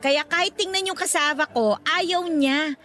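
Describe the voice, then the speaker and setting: An actress playing a sassy, gossipy female cassava vendor in a Tagalog radio drama, teasing and bantering in an animated, colloquial way. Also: high-pitched, unhurried, faint music behind. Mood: gossipy, exasperated.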